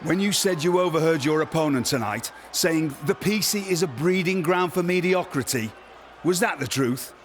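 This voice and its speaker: A man acting as pompous, high-voiced wrestling boss. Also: lively intonation, moderate pace, lightly acted. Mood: pompous, officious. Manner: formal, grandiose wording. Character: pompous, theatrical male wrestling general manager. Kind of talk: video game cutscene acting.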